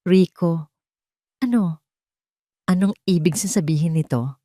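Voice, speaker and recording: A woman's voice: lowish voice, measured pace, warm tone, dry recording, natural voice. A young wife in an audio love drama, heartbroken and tearful as a woman narrator plays her. She pleads in a close, dry recording. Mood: hurt, pleading.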